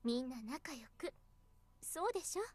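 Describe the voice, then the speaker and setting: High, soft, motherly schoolteacher. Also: expressive, fast talker. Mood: gently chiding. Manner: soft, tender, soothing. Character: gentle, kind, motherly female schoolteacher. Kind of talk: Japanese visual novel voice acting.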